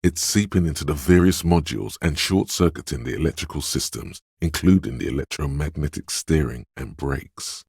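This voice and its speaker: A solemn, dryly wry narrator inside a detective's head in a video game. The voice sits extremely low and is thick with husky gravel. It moves in measured, literary phrases with deliberate pauses, recorded very dry and close. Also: male voice, a little mellow, no room sound, full character voice. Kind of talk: scripted game narration, second person.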